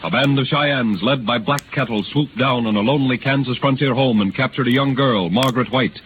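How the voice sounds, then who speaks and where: A man's voice: mid-range voice, brisk, noisy background. Solemn 1930s radio narrator, tinny male voice. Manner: solemn, theatrical, formal delivery.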